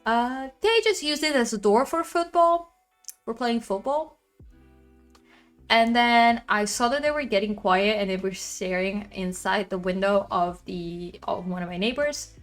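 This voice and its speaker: A woman with a loud voice chatting casually on a livestream from her apartment, rambling and venting about her neighbors. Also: mid-range voice, measured pace, soft background music. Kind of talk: casual chat.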